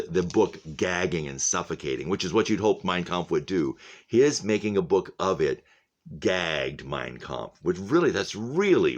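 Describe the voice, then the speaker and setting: A man with a very deep, low voice reads aloud as a teacher would to a class. His delivery is deliberate and expressive, and the ends of his phrases sink into a hush. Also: highs cut off. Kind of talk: expressive audiobook-style reading with casual spoken commentary.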